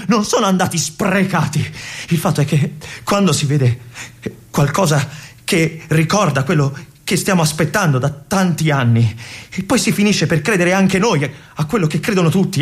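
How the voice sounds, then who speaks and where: An actor playing a restless young army lieutenant in a theatrical monologue, with an intense, halting delivery that moves between hushed and urgent. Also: male voice, sits high, slightly rough, exaggerated voice. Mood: excited. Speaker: stage actor performing a monologue.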